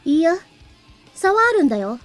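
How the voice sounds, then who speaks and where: A woman's voice: sits high, very animated, racing, soft background music. A woman voice acting a mysterious, knowing little boy in a visual novel. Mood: knowing, breezy.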